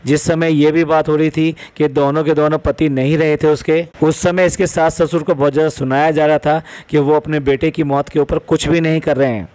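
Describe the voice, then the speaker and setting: A man's voice: a little high, little pitch movement, brisk, few pauses, a little mellow. A man giving opinionated reaction commentary in an emphatic way, repeating phrases and posing rhetorical questions. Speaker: commentary vlogger.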